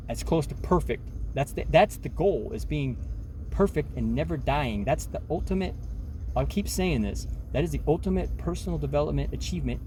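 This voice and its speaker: Man in his forties, self-help vlogger, mellow voice, talks in emphatic loops with faint noise behind. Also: a little high. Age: 40s. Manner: rambling, many restarts, emphatic, says "man" often. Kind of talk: rambling casual monologue.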